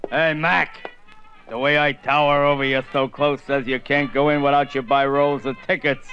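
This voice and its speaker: A man's voice: medium pitch, flat delivery, moderate pace, close to an everyday voice. An actor playing a brash, fawning dance hall doorman. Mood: pushy. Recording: dull old radio broadcast, music behind.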